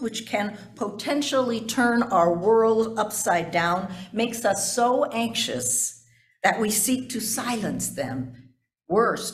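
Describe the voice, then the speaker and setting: Older woman preaching in an echoey church. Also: on the high side. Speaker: pastor preaching a sermon. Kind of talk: sermon read from notes, with asides to the congregation. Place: indoors in a church sanctuary at a pulpit. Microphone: gooseneck pulpit microphone.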